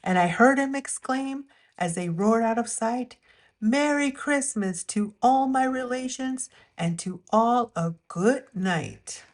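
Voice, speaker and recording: A woman reading a rhyming picture book aloud as a storyteller, with an expressive, measured storytime delivery. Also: a little high.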